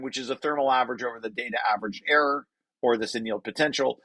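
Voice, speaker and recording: A man's voice: medium pitch, a bit bright, no echo. A man reading through a technical paper and explaining it informally, thinking aloud and correcting himself as he goes. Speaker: researcher presenting own paper.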